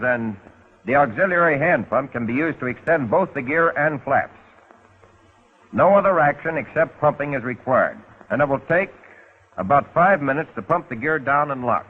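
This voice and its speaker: A man's voice: medium pitch, breathy edge. An American man narrating a 1940s instructional film in a scripted, instructional way with folksy, wry touches. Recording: muffled, phone-like 1940s film soundtrack.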